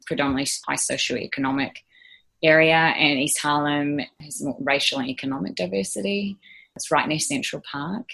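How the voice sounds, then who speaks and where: A woman's voice: low-pitched, creaky, a bit bright, husky, rough recording. A woman in her thirties with a New Zealand accent, a nurse answering interview questions earnestly, with frequent fillers and restarts. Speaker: ICU nurse guest.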